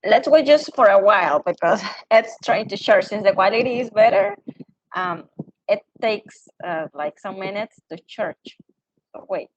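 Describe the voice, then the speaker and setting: A woman's voice: mid-range voice, animated, a little slow. A woman teaching an online English class, prompting students in a friendly way. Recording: dull lo-fi online call audio.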